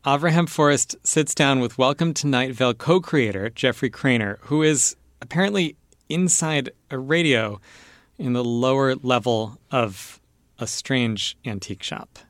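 A man's voice: mid-range voice, measured pace, no room sound. A man working as a podcast host, delivering scripted narration and staged banter.

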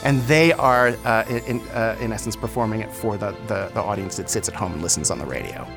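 A man's voice: fairly low pitch, a little fast, music in the background. A man speaking reflectively in an interview as a theater director, thoughtful and hesitant.

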